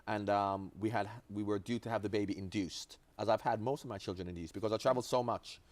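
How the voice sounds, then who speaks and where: A man's voice: fairly low pitch, fairly even intonation, quick pace, a little mellow, dry recording. A man in his forties from Jamaica, a former footballer chatting as an interview guest, telling long stories with restarts and asides. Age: 40s. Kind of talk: relaxed interview chat.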